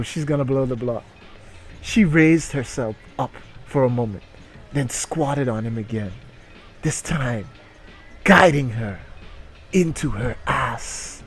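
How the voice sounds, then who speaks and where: A man giving a mock-dramatic story reading with crude, irreverent comic asides. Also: medium pitch. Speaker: reader and comedic streamer. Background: music.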